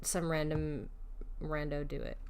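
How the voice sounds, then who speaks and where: A woman's voice: sits low, a little slow, gravelly, darkish tone, slight background noise. Young woman streamer, slangy gameplay commentary. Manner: slangy, teasing, reacting aloud. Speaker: vtuber streamer playing a game. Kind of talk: casual, joking gameplay commentary.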